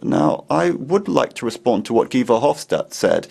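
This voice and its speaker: Gravelly man interpreting, firm. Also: mid-range voice, sing-song, normal speed, a little mellow. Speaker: simultaneous interpreter. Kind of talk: parliamentary interpreting.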